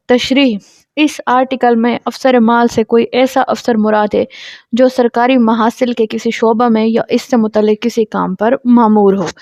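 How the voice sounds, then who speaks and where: A woman's voice: on the high side, a little mellow, no echo. A woman with a Pakistani accent giving an explanatory lecture, reading out legal text and explaining it as a teacher. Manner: switches between Urdu explanation and slowly read English text. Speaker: law lecturer. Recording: dull lo-fi audio.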